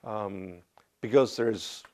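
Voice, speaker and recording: A man giving an expert view in an interview, explaining his argument in a measured way. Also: lowish voice, a little mellow.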